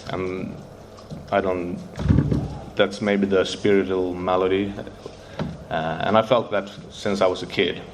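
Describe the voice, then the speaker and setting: A man from Sweden with a Swedish accent, Swedish his first language, sharing his recovery story at a meeting, nervous and halting as he searches for English words. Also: low-pitched, little pitch movement, heavy background noise.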